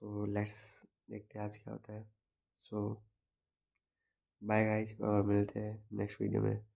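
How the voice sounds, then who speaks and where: A young man with a slightly sore throat, talking through his day as a student in an unhurried, reflective vlog. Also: lowish voice, monotone, vocal fry, a little mellow. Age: young adult. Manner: unhurried, reflective, mixes English words into the talk. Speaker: engineering student vlogger. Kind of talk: casual daily vlog recap.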